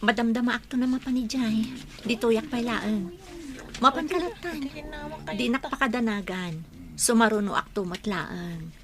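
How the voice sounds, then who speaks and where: A woman's voice: a little high, moderate pace, natural voice. A woman with an Ilocano Filipino accent playing a bereaved, thankful friend in a radio drama. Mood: downcast. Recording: crowd sounds behind the dialogue.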